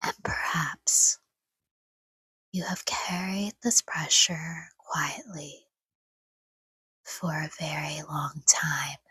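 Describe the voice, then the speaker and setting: A woman softly guiding a sleep meditation in a hushed, breathy whisper, with gentle, soothing delivery in short phrases and long pauses. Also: low-pitched, no room sound, rough recording. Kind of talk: guided sleep meditation, scripted, soft-spoken.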